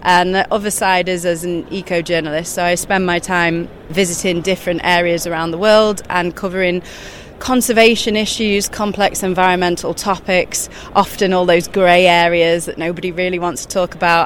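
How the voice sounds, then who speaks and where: A woman talking as an interview guest at a busy exhibition show, animated and quick, often laughing mid-sentence. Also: lowish voice, a little hiss or hum. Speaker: guest, children's author and eco-journalist. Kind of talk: casual interview chat.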